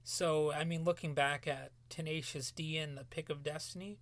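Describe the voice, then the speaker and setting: A man talking solo in a rambling podcast commentary, halting and restarting often, his voice cracking as he loses it. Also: on the high side, steady tone, no room sound. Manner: halting, many restarts and fillers. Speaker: podcast host.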